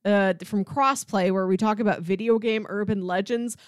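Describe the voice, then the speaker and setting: A woman co-hosting a casual pop culture podcast, talking like an excitable, gushing fan. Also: mid-range voice, normal speed, clear, resonant tone, no room sound, clean studio sound. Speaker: podcast co-host.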